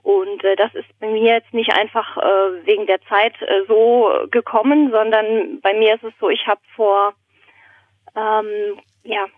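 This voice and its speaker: A woman's voice: mid-range voice, flat delivery, a little slow. A woman from Germany chatting casually as a podcast guest over a phone line, in a hesitant, thoughtful manner. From Germany, born in Berlin, raised in southern Germany. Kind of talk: casual conversation, telling anecdotes and a joke. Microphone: phone call. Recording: thin, narrow telephone line.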